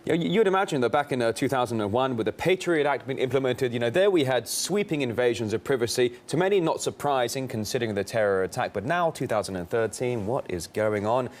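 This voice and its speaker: A man's voice: mid-range voice, lively intonation, fast talker, hardly any pauses. A man anchoring a live news broadcast, presenting and interviewing in a dramatic, emphatic manner.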